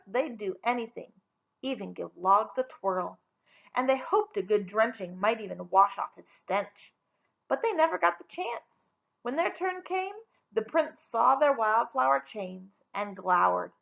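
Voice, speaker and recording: Expressive woman storyteller with creaky voice. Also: a little high, unhurried, muffled recording. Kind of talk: expressive children's story read-aloud.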